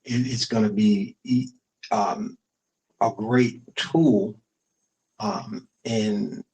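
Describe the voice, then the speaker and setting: A middle-aged man, a guest musician in a remote interview, talking in a relaxed way and often repeating himself with "you know". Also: fairly low pitch, extremely slow, rough recording. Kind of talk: casual interview chat.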